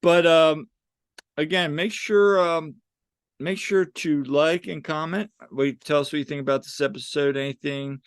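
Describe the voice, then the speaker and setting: A man's voice: a little high, steady tone, a little slow, smooth, no echo, studio quality. A young man co-hosting a sports podcast in a casual, rambling way, with frequent restarts and fillers. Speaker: podcast co-host.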